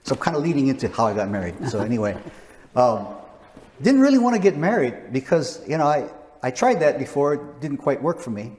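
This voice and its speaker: A man's voice: medium pitch, sing-song, fairly quick, a little mellow, a little hiss or hum. Chatty older man in a reverberant hall. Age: 60s. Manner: rambling, frequent restarts and chuckles. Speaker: interview guest, missionary. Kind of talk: casual conversational interview.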